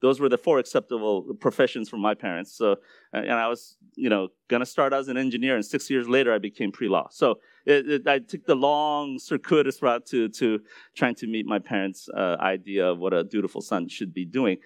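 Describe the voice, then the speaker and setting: A man's voice: mid-range voice, steady tone, fairly quick, no room sound, clean studio sound. A middle-aged Korean American man sharing his story in a conversational sermon, often restarting his words. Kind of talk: sermon testimony.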